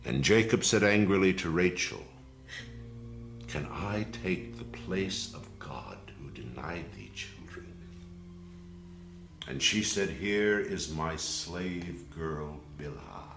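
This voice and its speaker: A man in an audio drama playing a solemn commander, speaking in a formal, measured, deliberate way. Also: deep voice, animated, fairly bright tone, echoey room, slight background noise, full character voice. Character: solemn older male commander, master of the household. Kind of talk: audio drama acting, scripture reading.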